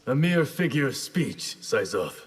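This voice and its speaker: A man voicing a proud, composed warrior in a video game, measured and formal in his speech. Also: medium pitch, very animated, very noisy. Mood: composed challenge. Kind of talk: video game character dialogue.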